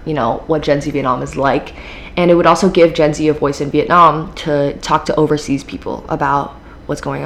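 A woman's voice: sits low, a little hiss or hum. A teenage woman with an American accent hosting her own podcast, talking casually with lots of fillers and an upbeat, chatty manner. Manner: chatty, filler-heavy, upbeat with frequent laughs. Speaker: podcast host. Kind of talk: casual solo podcast talk.

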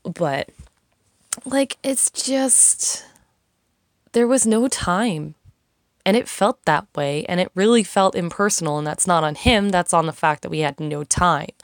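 A woman's voice: lowish voice, animated, a little slow, no echo, clean studio sound. A young woman talking casually and frankly, rambling and swearing as she vents. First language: English. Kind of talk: casual monologue, venting.

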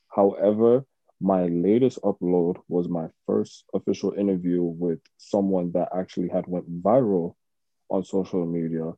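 Deep, dark-voiced Jamaican man. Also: no room sound, lo-fi sound. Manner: relaxed, many fillers and restarts. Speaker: podcast host. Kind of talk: casual interview chat.

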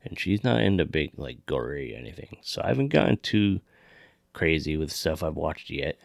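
A man's voice: rumbling low, big pitch swings, moderate pace, creaky, dry recording, clean studio sound. A man hosting a podcast in casual, informal chat, swearing freely. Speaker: podcast host.

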